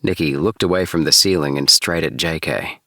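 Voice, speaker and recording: A man narrating an audiobook in a calm, steady reading voice. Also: a bass voice, normal speed, creaky, dry recording, studio quality.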